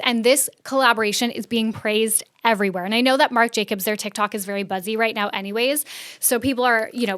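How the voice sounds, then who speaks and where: Female podcast host for casual online news commentary; higher-pitched, crisp resonant voice, informal, chatty delivery with emphasis. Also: fairly bright tone.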